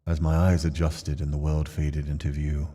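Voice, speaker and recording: A man reading aloud in the first person as a numb, brooding young nobleman exiled to a mansion, in a measured, subdued way. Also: extremely low pitch, completely flat delivery, dark tone, husky, in a large hall. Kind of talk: reading game text aloud.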